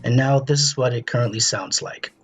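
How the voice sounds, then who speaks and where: A man presenting a step-by-step software tutorial in a casual, instructional way. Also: medium pitch, brisk, soft background music.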